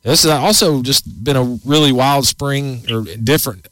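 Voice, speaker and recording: A man's voice: medium pitch, normal speed, a bit bright, dry recording. Man in his forties, folksy radio host, rambling chat, dry close studio sound. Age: 40s. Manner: rambling, folksy, many restarts. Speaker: outdoors show host.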